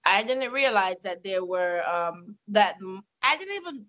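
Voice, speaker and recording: A woman's voice: medium pitch, a little slow. A woman from Jamaica, telling a personal story in a casual chat with friends. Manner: storytelling, expressive. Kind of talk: casual group discussion.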